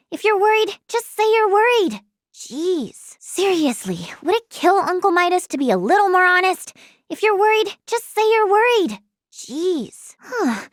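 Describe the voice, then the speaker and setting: A woman voicing an earnest, caring young healer and researcher in a video game, with a light, girlish, expressive delivery. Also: high-pitched, no echo, clean studio sound. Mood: curious. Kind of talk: English video game voice acting.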